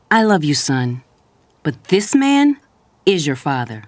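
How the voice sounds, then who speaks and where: A woman's voice: low voice, sing-song, slow, dry recording, exaggerated voice. A woman playing a sassy, loving middle-aged mother in an audio drama, speaking frankly and conversationally. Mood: loving, teasing. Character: sassy, loving mother of grown children, fed-up wife. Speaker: actor.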